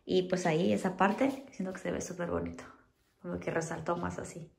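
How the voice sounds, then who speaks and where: Home-decor vlogger, woman, relaxed and chatty, lots of little fillers, slight fry in the voice. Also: on the low side, a little fast. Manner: chatty, full of diminutives and fillers. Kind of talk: casual how-to chat. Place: at home indoors.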